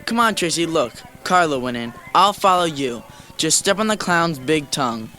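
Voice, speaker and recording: A man's voice: on the high side, lively intonation, normal speed, pushed and bright. A man playing a friendly, goading young boy in a children's audio drama. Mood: eager, coaxing. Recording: old cassette with faint music.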